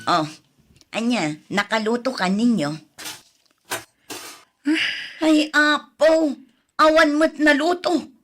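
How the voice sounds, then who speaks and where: A woman acting an exasperated, nagging but caring older grandmother in an Ilocano radio drama. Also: sits high. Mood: exasperated scolding. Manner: nagging, sighing, drawn-out pleading.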